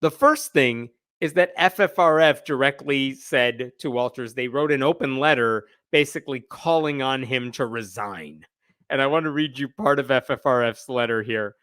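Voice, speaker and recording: A man's voice: medium pitch, normal speed, fairly bright tone, smooth, dry recording, clean studio sound. A man hosting a livestream with casual, opinionated news commentary, conversational and emphatic, with a sardonic edge.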